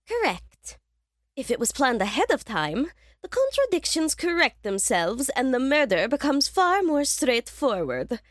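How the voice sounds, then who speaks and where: A woman's voice: sits high, very animated, a little slow, no echo, clean studio sound. A woman playing a cerebral, condescending young detective in an audio drama, speaking precisely. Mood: superior.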